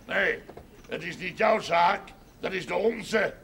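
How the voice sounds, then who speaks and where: High-pitched, blustering farmer. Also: male voice, takes it slowly, full character voice. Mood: scornful. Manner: loud, sardonic, declamatory. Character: defiant, blustering Limburg farmer facing land expropriation. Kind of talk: television drama acting. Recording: noisy 1970s television soundtrack.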